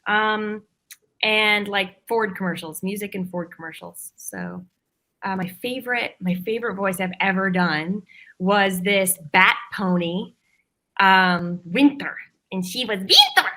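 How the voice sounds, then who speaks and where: A woman's voice: mid-range voice, a little slow, bright, forceful tone. A woman performer casually introducing herself as a guest on a panel, talking with enthusiasm. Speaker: voice actor and songwriter, panel guest.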